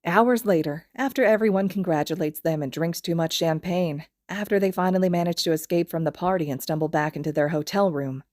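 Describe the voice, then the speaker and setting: A woman's voice: fairly low pitch, fairly quick, hardly any pauses, darkish tone, dry recording. A woman reading a story aloud in scripted narration. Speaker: podfic reader.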